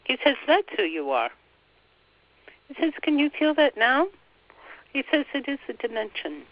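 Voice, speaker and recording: A woman leading a slow guided meditation, softly passing on a spiritual guide's words phrase by phrase. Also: high-pitched, very animated. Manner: soft, relaying every phrase with "he says". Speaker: channeler relaying a spiritual guide. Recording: dull, dry lo-fi recording.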